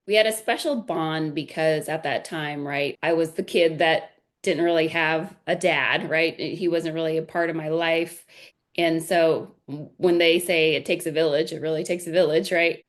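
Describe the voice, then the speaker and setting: A woman in her forties, a podcast guest, chatting casually and telling family stories with frequent "right?" tags. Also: sits low. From United States. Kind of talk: casual interview chat.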